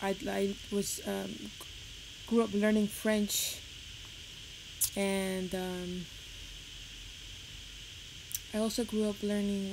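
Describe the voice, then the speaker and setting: A young woman with a Puerto Rican accent chatting on a livestream, talking fast and rambling nervously. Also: mid-range voice. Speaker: live streamer. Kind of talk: rambling casual chat on a livestream. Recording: noisy home stream audio.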